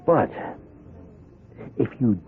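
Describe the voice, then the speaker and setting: An actor playing a pushy, cocky young small-time hoodlum in a radio drama, with an American accent and a wheedling, needling, slangy way of talking. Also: male voice, drawn-out words, narrow, tinny audio, slight background noise. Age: young adult. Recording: band-limited radio drama recording with music.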